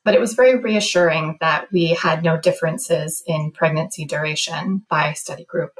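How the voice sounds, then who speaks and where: A woman researcher explaining study findings in an interview, in a measured and precise way. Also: low-pitched, low-quality recording. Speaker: medical researcher, study author.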